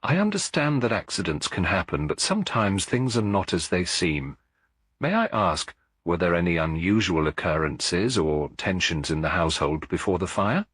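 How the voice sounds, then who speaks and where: A man voicing a kindly, shrewd elderly woman detective who questions people gently and politely in a mystery story. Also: sits low, animated, moderate pace, slightly rough, no echo, clean studio sound, full character voice. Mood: gentle, probing.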